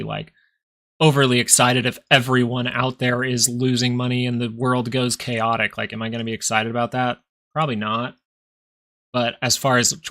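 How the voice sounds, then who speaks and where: A man's voice: medium pitch, sharp tone. A young man with a nasal voice hosting a live stock market stream, thinking aloud in casual, rambling talk with frequent restarts. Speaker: stock market livestream host. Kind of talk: live market commentary and chat with viewers.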